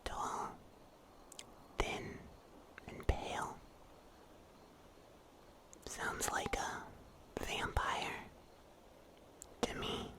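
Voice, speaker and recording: Casting: male performer as mysterious huntsman of the dark woods, wry yet protective, breathy whisper, slow delivery, ASMR roleplay. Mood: ominous, wry. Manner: slow, hushed, gently teasing.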